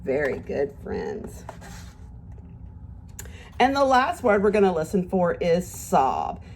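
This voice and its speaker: Slow, expressive woman teacher reading to children. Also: lowish voice, gravelly, slight background noise. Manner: expressive, simple wording, encouraging praise, addressing children directly. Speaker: teacher reading a picture book aloud to young children. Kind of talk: children's read-aloud lesson with questions and pauses.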